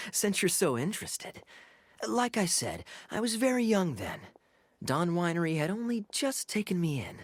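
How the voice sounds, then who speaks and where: An actor playing a suave, sly male knight captain in a video game cutscene, teasing and smooth with playful chuckles. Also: medium pitch.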